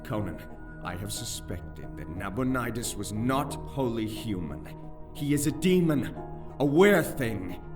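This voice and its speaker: An actor playing an eloquent, anxious young nobleman in a fantasy audio drama, with theatrical, archaic phrasing. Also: medium pitch. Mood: grave, shaken. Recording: music bed behind the voice.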